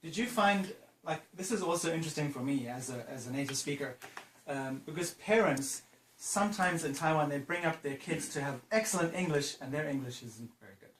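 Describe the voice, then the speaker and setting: A man's voice: fairly high pitch, brisk, a bit bright. Chatty male interviewer in a roomy space. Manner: chatty, encouraging questions. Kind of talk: casual interview. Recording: roomy sound with faint noise.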